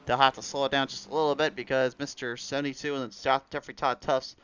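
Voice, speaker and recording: A man's voice: medium pitch, level tone, fast talker, dull audio, background noise. A man giving rambling live play-by-play commentary on a racing league broadcast, a little under the weather, his voice cutting out at times. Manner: rambling play-by-play with restarts and fillers. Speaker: race commentator.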